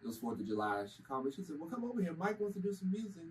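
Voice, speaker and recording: Guy, musician, tells stories all animated, sounds kinda far from the mic w/ faint room hum. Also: sits high, very fast. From Kentucky. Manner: animated storyteller, acts out quoted lines. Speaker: musician guest. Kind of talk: casual storytelling. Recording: distant from the mic, faint ambient noise.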